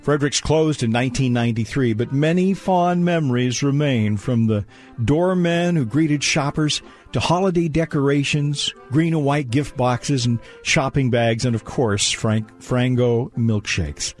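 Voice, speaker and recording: A man's voice: mid-range voice, unhurried, darkish tone, soft background music. A man announcing a radio drama in a formal, old-time broadcaster style, reading a scripted introduction.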